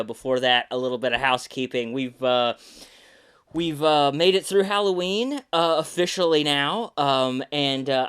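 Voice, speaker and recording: A man chats as the host of his own podcast, rambling easily from point to point with plenty of filler words and false starts. His voice is smooth and clean, sitting at an ordinary middle pitch, with a slight stuffiness from sinus trouble. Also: a bit bright. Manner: easygoing, rambling, lots of filler words and restarts. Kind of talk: casual podcast chat.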